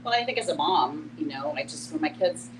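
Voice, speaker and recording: A woman's voice: sits low, lively intonation, a little fast. A woman moderating a casual group discussion in a conversational way, repeating words for emphasis. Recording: clear background noise and hum.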